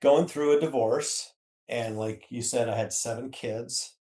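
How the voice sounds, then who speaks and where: A man's voice: medium pitch, measured pace, dark tone. An American man in his fifties casually recalling his own past as a podcast guest, in plain-spoken words. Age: 50s. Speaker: podcast guest, author's husband. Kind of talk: casual conversational recollection. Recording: echoey room.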